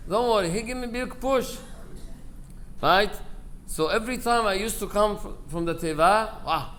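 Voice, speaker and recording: Higher-voiced man giving a memorial speech. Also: normal speed, fairly bright tone. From Aleppo, Syria. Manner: conversational storytelling, acting out quoted dialogue, broken English grammar. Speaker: rabbi giving a eulogy. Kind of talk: informal memorial speech with personal anecdotes. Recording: live speech with clear background noise.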